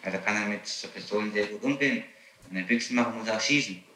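A man's voice: lowish voice, quick pace, sharp tone, roomy sound. A man questioning a suspect in an archival recording, probing and insistent. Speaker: interrogator. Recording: distant, noisy old tape recording.

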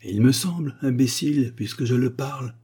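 A man's voice: mid-range voice, moderate pace, dark tone, breathy edge, voice pushed up, natural voice. A man voicing an irritable ancient Egyptian mummy who scolds and insults in a raised voice. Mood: irritated. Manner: scornful, peevish scolding.

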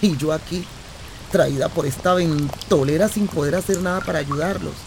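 Radio drama role: restless, warm-hearted Colombian woman traveller, playful and chatty, noisy street ambience. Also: low voice, moderate pace. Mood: chatty, teasing. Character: adventurous, restless, affectionate young Colombian woman stranded while travelling. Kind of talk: radio drama acting, conversational storytelling. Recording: remote recording with clear traffic noise.

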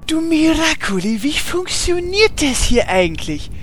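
An actor playing Horst, a big, strong but naive warrior who keeps asking puzzled questions, in a comedy radio play. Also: male voice, very high-pitched, sing-song, measured pace, fairly bright tone, slight background noise, heavily acted.

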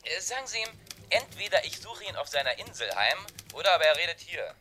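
A man's voice: fairly high pitch, big pitch swings, quick pace, bright, forceful tone, soft background music. An actor playing a pushy, sly newspaper reporter in a crime radio drama, needling and insinuating with his questions.

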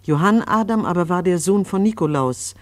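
A man playing a solemn chronicler, reciting a family history in measured scriptural style for a radio play. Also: high-pitched, animated, no room sound.

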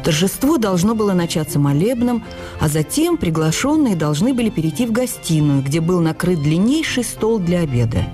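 A woman narrating a literary memoir for radio drama in an intimate, wistful and expressive manner. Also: on the low side, moderate pace, soft background music.

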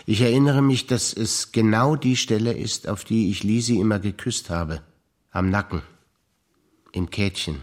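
An older man, a stage actor reciting memories in a measured, alternating theatre piece. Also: on the low side.

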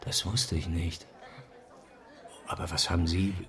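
An actor playing a self-assured, dominant intellectual in a radio drama, explaining insistently in a deliberate, lecturing way. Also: male voice, low-pitched, little pitch movement, fairly bright tone, a faint crowd. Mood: guarded. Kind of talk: German radio drama dialogue.

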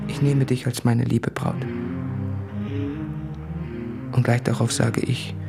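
An anguished suitor in a radio play, pleading with his fiancée. He is intense, earnest and deliberate, with clear music behind his mid-pitched voice. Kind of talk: radio drama, literary monologue.

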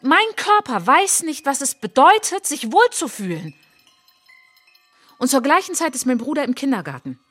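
An actress playing a reflective French woman traveller in a radio play monologue, speaking in a measured, intimate, literary way. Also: high voice, big pitch swings, fairly bright tone, soft background music. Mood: lonely, hurt.

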